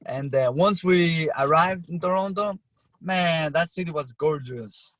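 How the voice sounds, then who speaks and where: A young man with an Albanian accent, whose first language is Albanian, telling a story as a podcast guest, animated and full of restarts. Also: fairly high pitch, unhurried, dry recording. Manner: animated, acts out his own past lines with frequent restarts. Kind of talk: casual storytelling.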